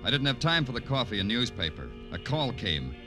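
An actor playing a weary, hardboiled police detective, brooding and poetic in the style of 1950s radio noir. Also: male voice, mid-range voice, big pitch swings, fairly quick, lightly acted. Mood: businesslike, somber. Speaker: detective narrator and lead. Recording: old radio recording with music behind.

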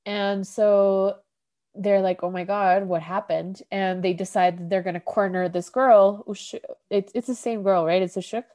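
A woman hosting a podcast and chatting through an episode recap. Her voice is warm and mellow, pitched mid-range, and she rambles loosely with constant "like" fillers. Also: fairly even intonation, unhurried. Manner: rambling, heavy use of "like", laughs often. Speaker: podcast host.

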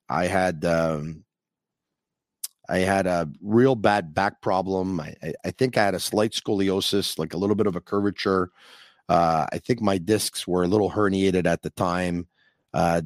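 A man hosting a sports call-in podcast, chatting in a rambling, animated way. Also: deep voice, dry recording, studio quality. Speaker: podcast host. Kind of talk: casual call-in show chat.

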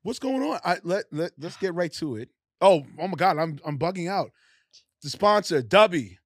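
A man's voice: high voice, animated, normal speed, no room sound, clean studio sound. A man hosting a podcast, talking in a hyped, loose, slangy way with many restarts. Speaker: podcast host. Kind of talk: casual interview chat.

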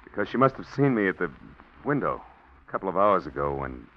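Guilty man cracking under questions, halting, deep, vintage radio sound. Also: sing-song. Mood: nervous. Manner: halting, stammering. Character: nervous male embezzler confessing to murder. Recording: dull old radio broadcast.

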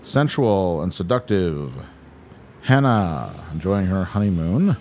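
A man's voice: low-pitched, big pitch swings, takes it slowly, noisy background. A man co-hosting a podcast in an apartment room, bantering casually and profanely with mumbled asides. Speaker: podcast co-host.

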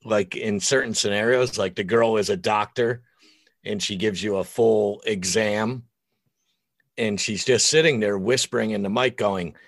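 Deep-voiced, blunt American man. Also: measured pace, no room sound. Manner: opinionated, blunt, crude joking. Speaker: podcast guest, podcaster and audiobook narrator. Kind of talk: casual, profane podcast chat.

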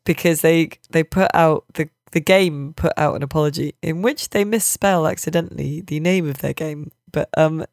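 A woman's voice: low voice, normal speed, vocal fry, few pauses, darkish tone, no room sound. Wry woman podcaster, dry close recording. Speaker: podcast host. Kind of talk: casual chat.

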